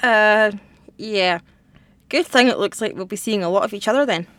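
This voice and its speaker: A young woman playing a dry, wary teenage girl who is new at school, deadpan, in a comic audio drama. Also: medium pitch, normal speed, dry recording, close to an everyday voice. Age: young adult. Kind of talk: light comedic audio drama dialogue.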